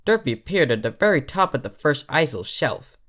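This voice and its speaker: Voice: medium pitch, sing-song, brisk, fairly bright tone. A storyteller reading scripted fiction aloud as its narrator. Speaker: fan fiction reader, narrator.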